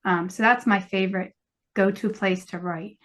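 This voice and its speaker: Easygoing woman podcast guest, mid-pitched. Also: fairly even intonation, normal speed, fairly bright tone. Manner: easygoing, laughs often. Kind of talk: casual chat.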